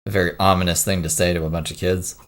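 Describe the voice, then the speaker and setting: A man's voice: low voice, little pitch movement, fast, background noise. A man hosting a livestream, casually commenting on someone else's stream and mockingly retelling what was said. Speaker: livestream host reacting to another person's livestream. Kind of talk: casual chat, commentary on a played clip.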